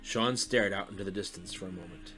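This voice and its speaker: A man reads scripted story narration in a fairly low voice. Faint music runs underneath his narration. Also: fairly quick.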